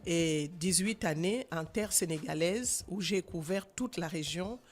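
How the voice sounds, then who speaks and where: A woman in her sixties with a Gabonese French accent, a guest author speaking earnestly and emphatically in a radio interview, pausing often with fillers. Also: lowish voice. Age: 60s. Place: radio studio.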